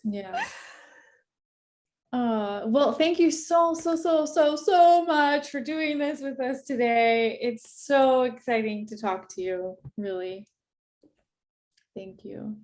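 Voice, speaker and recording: A woman's voice: sits high, takes it slowly, darkish tone. A woman hosting an interview, effusive and prone to repeating herself. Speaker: interview host, art school founder. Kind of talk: casual interview.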